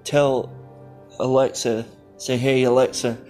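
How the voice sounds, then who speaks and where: A man hosting a podcast casually, in halting short phrases. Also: medium pitch, level tone, dark tone, faint music behind. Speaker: podcast host.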